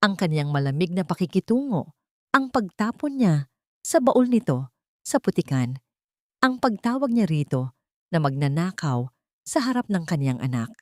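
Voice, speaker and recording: A woman's voice: lowish voice, big pitch swings, normal speed, pauses often, dark tone, no echo, clean studio sound. A woman narrating a scripted drama story in a solemn, melodramatic storytelling manner.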